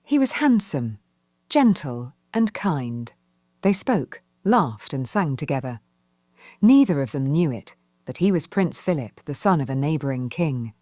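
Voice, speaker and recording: A woman with a low-pitched voice tells a children's fairy tale. Her delivery is expressive and measured, with lively rises and falls, and the recording is close and dry. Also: no echo. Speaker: storyteller.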